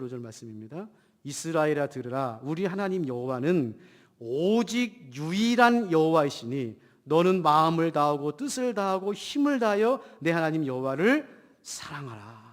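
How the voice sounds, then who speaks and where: A man preaching a church sermon as pastor with a cold, emphatic, swinging from loud declarations to hushed asides and putting questions to the congregation. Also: fairly high pitch, very animated, moderate pace. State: has a cold. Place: church hall during a service. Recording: long hall reverb in church.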